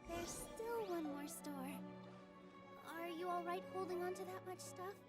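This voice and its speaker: An actress playing a gentle, earnest young female dancer in a game cutscene. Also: extremely high pitch, unhurried. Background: game music.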